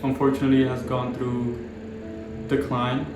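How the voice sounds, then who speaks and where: A young man in his twenties from the Dominican Republic, explaining things in a measured way in a documentary interview. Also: mid-range voice, monotone. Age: 20s. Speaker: planning graduate and local resident. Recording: very echoey room with music behind.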